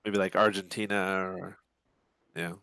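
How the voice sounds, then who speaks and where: A man chats on a call in a skeptical, joking way. His low voice has a strong gravelly fry running through it. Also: flat delivery, unhurried, pushed and bright, no room sound. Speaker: panelist. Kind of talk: casual call-in chat.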